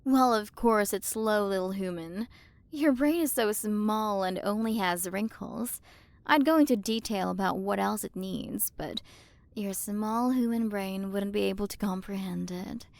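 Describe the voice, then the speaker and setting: A woman's voice: mid-range voice, measured pace, gravelly, no room sound. Casting: female performer as an imperious alien queen-scientist for ASMR roleplay; mid-range voice, commanding and teasingly superior, intimate dry recording. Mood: smug, condescending. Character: haughty alien galaxy queen, possessive scientist captor.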